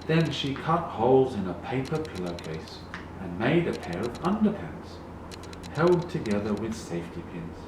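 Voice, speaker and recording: A man reading a children's picture book aloud in a deliberate, animated way, with emphasized sound words. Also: on the low side. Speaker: storybook reader. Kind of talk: expressive children's read-along narration. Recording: very echoey, distant, noisy room.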